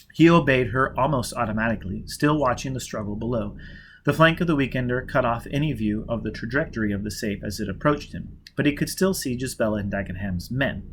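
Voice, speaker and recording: Expressive man reading a novel aloud. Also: medium pitch, a little hiss or hum. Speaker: audiobook reader. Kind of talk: novel narration.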